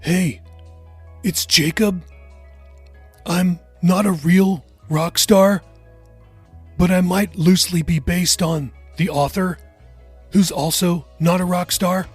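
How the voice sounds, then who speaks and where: A male podcast host delivers a casual, upbeat promo in a chatty, informal way. His voice runs fairly high, with music behind it. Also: fairly even intonation, slow pace, long pauses, husky, dry recording.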